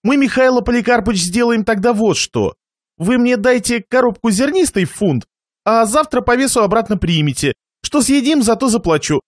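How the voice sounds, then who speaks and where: A man acts out a miserly host from a satirical storybook. The voice sits high and moves around a lot, plaintive and fawning. Every courtesy is forced, with suffering and resentment underneath. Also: lively intonation, normal speed, dry recording, studio quality. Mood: wheedling. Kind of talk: dramatised reading of a satirical short story.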